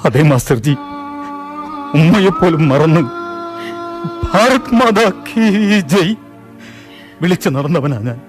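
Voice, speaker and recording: A man's voice: a little high, very animated, slow pace, pauses often. An actor playing a young man forced to flee his homeland, speaking with earnest anguish in a radio drama. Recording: music bed under the voice.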